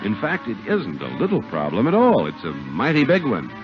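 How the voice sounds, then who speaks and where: A man's voice: on the low side, huge pitch swings, moderate pace. A man announcing in a 1950s radio style, with a polished, emphatic sales delivery. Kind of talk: 1950s radio advert and scripted narration. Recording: old, dull 1950 radio broadcast with music.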